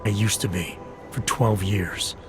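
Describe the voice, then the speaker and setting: An actor playing a stern, principled Interpol agent and ex-cop in a video game, terse and firm. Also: male voice, on the low side, steady tone, measured pace, over music, lightly acted. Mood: terse, restrained. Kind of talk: video game cutscene dialogue.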